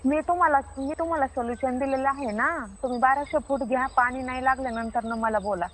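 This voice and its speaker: Comic phone skit: woman acting a self-assured rural Marathi water diviner, high and quick, argumentative, stubbornly repeating herself. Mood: defensive, indignant. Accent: Marathi, rural Maharashtra.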